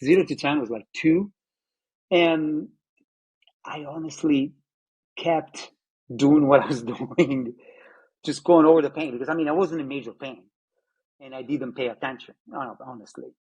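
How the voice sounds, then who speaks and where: Husky man in his fifties. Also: a little high, darkish tone, low-quality recording. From Italy. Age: 50s. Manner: reflective, halting, many fillers and restarts. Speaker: patient telling his story. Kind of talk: personal testimony interview.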